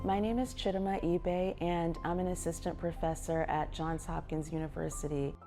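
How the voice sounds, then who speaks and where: A woman's voice: fairly low pitch, fairly even intonation, normal speed, music in the background. A middle-aged woman from the United States, a professor describing her work in a documentary interview. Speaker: public health professor.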